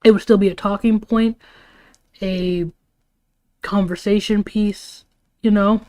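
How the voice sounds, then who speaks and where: A woman's voice: mid-range voice, fairly even intonation, takes it slowly, dark tone. A tired woman talking casually on a livestream, giving her opinions in an informal, rambling way with some casual swearing. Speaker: livestream host.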